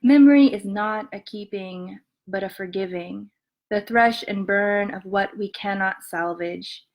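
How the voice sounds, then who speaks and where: Soft-spoken woman poet reading aloud. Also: medium pitch. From Montana and western Colorado, USA. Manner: soft-spoken, many ums, measured reading. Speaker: poet reading her work. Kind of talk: poetry reading with spoken introductions.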